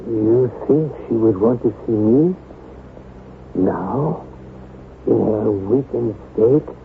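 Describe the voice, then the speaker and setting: An actor playing a loyal, concerned gentleman in old-time radio drama, with a courteous, sympathetic manner. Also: fairly low pitch, slow pace, gravelly. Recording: tinny, noisy vintage radio recording.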